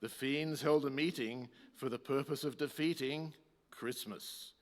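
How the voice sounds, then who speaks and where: A man's voice: medium pitch, unhurried. A man narrating a radio play in rhyme, with a declamatory storytelling manner. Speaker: announcer and narrator. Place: community hall, live performance. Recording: reverberant hall.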